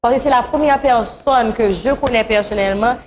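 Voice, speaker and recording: A woman's voice: fairly high pitch, a little fast, crowd noise. A woman, speaking conversationally as a guest in a talk show interview. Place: small talk show studio, on a couch.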